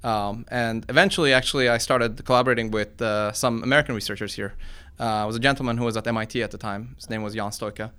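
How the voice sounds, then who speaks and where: His voice is smooth and clear, sitting at an ordinary male pitch. He is a man in his forties from Iran who grew up in Sweden. He answers interview questions conversationally, explaining things informally like a researcher turned founder. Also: fairly even intonation, quick pace, few pauses, pushed and bright. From born in Iran, grew up in Sweden. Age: 40s. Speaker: tech company founder and computer science researcher being interviewed.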